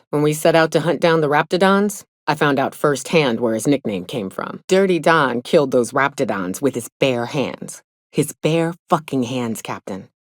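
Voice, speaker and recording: A woman playing a tough, grieving, foul-mouthed huntswoman in a space frontier video game, speaking bluntly in gruff, folksy phrasing. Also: low-pitched, dry recording, clean studio sound. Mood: admiring storytelling. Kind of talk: video game character dialogue.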